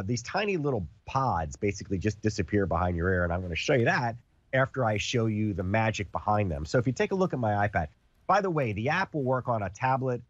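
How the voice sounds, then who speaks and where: A man's voice: fairly low pitch, no echo, muffled recording. A man with a cold, sounding congested, hosting a casual product demo and explaining with everyday comparisons. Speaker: TV host demonstrating a product.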